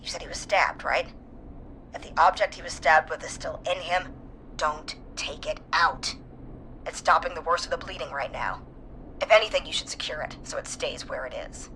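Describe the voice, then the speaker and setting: A no-nonsense medical nurse in a horror game. She speaks low, in a measured and direct way, laying out urgent instructions. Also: pushed and bright, a little hiss or hum, rough recording. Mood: firm, instructive. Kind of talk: dramatic video game voice acting.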